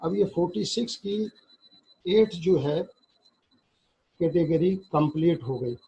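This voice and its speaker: Pakistani guy teaching law, super slow and halting, mellow but really husky voice, muffled cheap recording. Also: fairly high pitch, expressive. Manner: halting, deliberate, repeats phrases while explaining. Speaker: law lecturer. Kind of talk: lecture reading legal text aloud in English and explaining it in Urdu.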